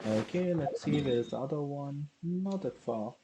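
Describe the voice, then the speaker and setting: A man's voice: a little high, lively intonation, measured pace, noisy background. A man giving casual, offhand live commentary while streaming a video game. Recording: loud game music behind the voice.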